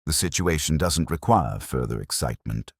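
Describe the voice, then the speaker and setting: A man voicing a calm, courteous police inspector in a village mystery story, speaking mildly and formally in a murmur. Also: a bass voice, slightly rough, no room sound, clean studio sound, full character voice. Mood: quietly serious. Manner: mild, unhurried, murmuring, formal.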